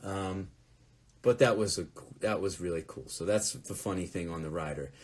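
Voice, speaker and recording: A man's voice: low-pitched, normal speed. A man chatting casually from home during a live fan Q&A, telling rambling anecdotes. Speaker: musician hosting a fan Q&A. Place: at home, next to a closet.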